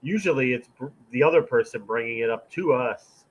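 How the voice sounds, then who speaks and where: A man's voice: mid-range voice, animated, highs cut off. A man with a nasal voice co-hosting a podcast in casual chat, often stumbling over the start of his sentences. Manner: hesitant, halting sentences. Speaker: co-host.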